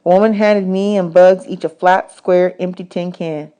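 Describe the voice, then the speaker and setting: A woman reading a children's novel aloud as expressive audiobook narration. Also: fairly low pitch, moderate pace, clean tone, lo-fi sound. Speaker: audiobook reader.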